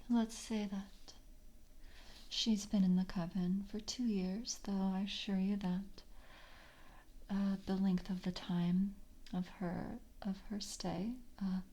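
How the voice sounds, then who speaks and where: A woman playing a polite, composed vampire coven member in an ASMR roleplay, speaking softly and breathily, often in a whisper, with a gentle, measured interviewer's manner. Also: mid-range voice, little pitch movement. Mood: calm, probing. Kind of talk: soft-spoken ASMR roleplay.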